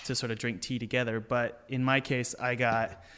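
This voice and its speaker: A man's voice: mid-range voice, level tone, normal speed, fairly bright tone, smooth. A man hosting a podcast, chatting casually and enthusiastically with his guest. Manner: enthusiastic, chummy, says dude. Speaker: podcast host. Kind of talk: casual interview chat.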